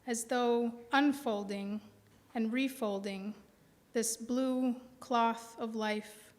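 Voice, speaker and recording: Slow, high-voiced American woman in hall. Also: steady tone, a little mellow. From Milwaukee, American Midwest. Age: 40s. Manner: slow, deliberate phrasing with pauses. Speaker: poet. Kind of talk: live poetry reading. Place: indoor reading before a live audience. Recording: reverberant hall sound.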